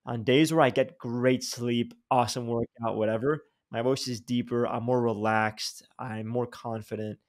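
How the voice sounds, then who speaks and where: A young man hosting a podcast, talking in a lively, slangy way with many restarts and repeated words. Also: medium pitch, moderate pace, few pauses. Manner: animated, slangy, says dude and bro, many restarts. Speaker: podcast host. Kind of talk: casual interview chat.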